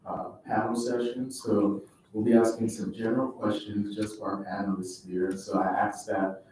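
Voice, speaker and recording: A man's voice: fairly low pitch, level tone, normal speed, a little mellow. Young guy hosting student event, polite announcements, super echoey and far from mic. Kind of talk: informal event announcing to a group. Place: large indoor room at a student event. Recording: very echoey room, distant mic, noisy.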